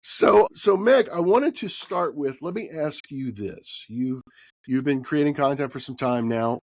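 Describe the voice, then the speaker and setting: A man hosts a podcast while getting over a cold, which leaves his voice husky. His pitch sits in the middle range for a man. The sound is dry, with little room behind it. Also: unhurried, darkish tone, dry recording. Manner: upbeat, often adds "right?". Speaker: podcast host. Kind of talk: casual podcast interview.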